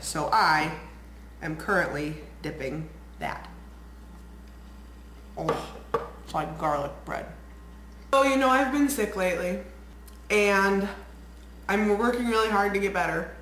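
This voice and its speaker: A woman's voice: medium pitch, a bit bright. Chatty congested woman vlogging at home. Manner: bubbly, rambling, lots of "like". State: congested, nasal from a cold. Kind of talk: casual chatty vlog. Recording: echoey room, faint ambient noise.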